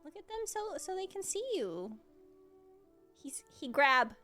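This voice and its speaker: Female game streamer: highish, very lively pitch movement, casual reaction chat over clear background music. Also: a little slow.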